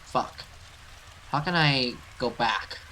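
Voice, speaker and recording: Man, bright forceful voice, mid pitch, casual gaming host, noticeable background noise. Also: slow, low-quality recording. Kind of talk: casual let's play commentary, reading game text aloud.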